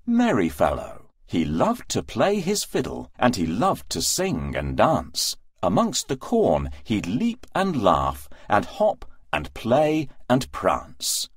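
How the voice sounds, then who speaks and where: A man's voice: on the low side, breathy edge, dry recording, clean studio sound. A man narrating a rhyming children's story as a scripted storybook reading.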